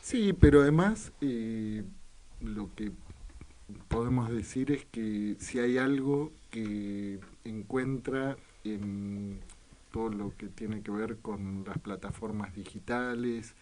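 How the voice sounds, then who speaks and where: A man hosting a reflective radio conversation, with halting, thoughtful phrasing. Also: on the low side, darkish tone. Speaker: radio host.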